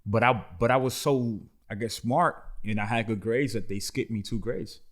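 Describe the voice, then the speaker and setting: A man's voice: medium pitch, normal speed. A man in his twenties, from the Dominican Republic, telling his life story in an earnest, slangy way as a podcast guest. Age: 20s. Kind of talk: casual interview chat.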